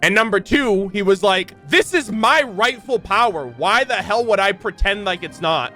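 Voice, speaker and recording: High, bright-voiced man, excitable reactor. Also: normal speed, faint music behind. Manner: slangy, exclamatory, animated. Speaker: reaction video host. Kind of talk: casual, excitable game reaction commentary.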